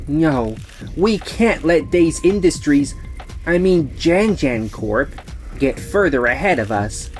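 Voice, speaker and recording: Woman acting a low-voiced, proud sales manager. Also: lively intonation, takes it slowly, darkish tone, soft background music. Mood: insistent. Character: proud, stubborn sales manager, defensive under pressure. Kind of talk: manga dub voice acting.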